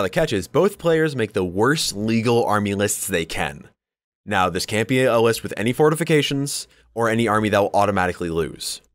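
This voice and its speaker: Guy with a lowish voice cohosting a podcast, jokey and sweary, super dry audio. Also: no echo. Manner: joking, sweary, informal. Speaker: podcast co-host. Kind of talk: casual banter about tabletop wargaming.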